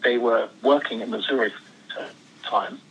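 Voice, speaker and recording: A man's voice: mid-range voice, moderate pace, a bit bright, breathy edge, dull audio. A man in his seventies, born in Switzerland, telling his life story as an interview guest, rambling with many restarts. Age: 70s. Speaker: guest actor. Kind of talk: casual interview chat.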